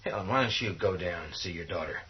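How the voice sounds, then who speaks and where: A man's voice: sits low, lively intonation, fast. An actor playing a practical male survivor in a horror radio drama, speaking plainly. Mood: tense, practical. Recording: dull lo-fi sound.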